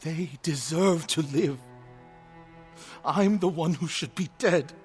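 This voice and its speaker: An actor playing a loyal, burdened warrior monk in a video game, with an earnest, heartfelt and weighty delivery. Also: male voice, on the high side, slow pace, soft background music. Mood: anguished. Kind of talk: dramatic video game acting.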